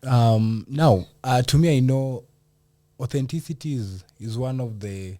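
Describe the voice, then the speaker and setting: A man with a Kenyan accent hosting a conversational podcast interview, speaking hesitantly and often clearing his throat. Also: medium pitch, measured pace, warm tone, no room sound. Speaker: podcast host.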